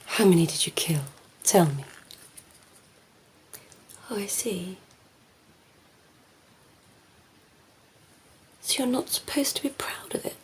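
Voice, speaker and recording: A woman playing a cheeky, flirtatious female vampire in a soft, teasing ASMR roleplay, often slipping into whispers. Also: mid-range voice, very animated, unhurried. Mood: curious teasing.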